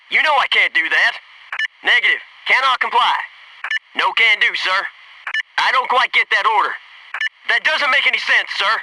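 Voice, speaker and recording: An actor playing a folksy, dutiful Navy SEAL commando, giving short, clipped military callouts in a video game. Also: male voice, high voice, recorded from a distance, background noise. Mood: firm refusal.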